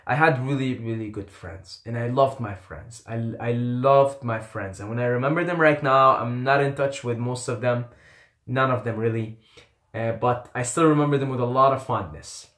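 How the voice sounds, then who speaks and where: A man's voice: medium pitch, moderate pace, fairly bright tone. A young man from Qatar whose first language is Arabic, telling a personal story in a rambling, candid vlog style with casual swearing and repeated phrases. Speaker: YouTuber. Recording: echoey room.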